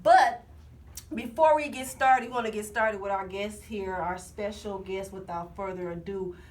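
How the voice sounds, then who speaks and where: A woman's voice: mid-range voice, normal speed. A woman hosting a casual talk show, teasing her co-host and chatting in loose slang. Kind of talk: casual chat. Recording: distant mic with faint hiss.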